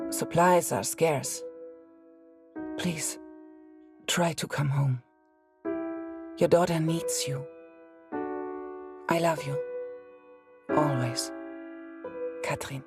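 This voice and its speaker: A woman's voice: low-pitched, slow, vocal fry, long pauses, full character voice. A woman playing a worried, loving wife who reads her letter aloud in a game drama. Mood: worried, tender. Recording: piano music behind the voice.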